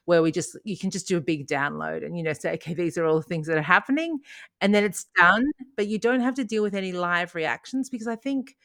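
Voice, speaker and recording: A middle-aged woman talks in a relaxed, meandering way, restarting her sentences and laughing often. Her voice sits low for a woman, and the recording is close and dry. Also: fast talker, dry recording. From Australia. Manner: rambling, frequent restarts and laughs. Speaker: podcast co-host. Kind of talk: casual chat.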